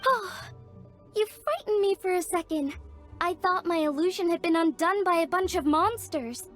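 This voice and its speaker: A woman's voice: very high-pitched, expressive, normal speed, faint music behind. A woman voicing an earnest, protective young woman illusionist in a video game. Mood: concerned. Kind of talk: scripted video game voice acting.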